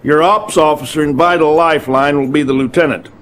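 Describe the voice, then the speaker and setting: A man's voice: a little high, steady tone, fairly quick, a bit bright, natural voice. Man playing a blustery, folksy army general. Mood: brisk, commanding. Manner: folksy, blunt, commanding. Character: gruff, blustery middle-aged male American army general. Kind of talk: dramatic video game cutscene acting.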